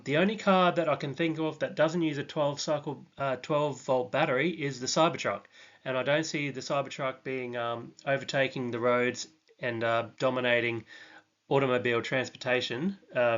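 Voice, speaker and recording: A man's voice: mid-range voice, steady tone, normal speed, a bit bright, dull audio. A man hosting his own channel, talking through a stock analysis in a casual, hesitant way with many ums and restarts.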